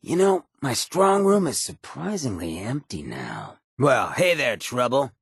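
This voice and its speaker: An actor voicing a cocky, roguish, streetwise ghoul companion in a video game, with a very raspy, gravelly voice and a sly, laid-back swagger. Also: male voice, medium pitch, wildly swooping pitch, unhurried, dry recording, clean studio sound, full character voice.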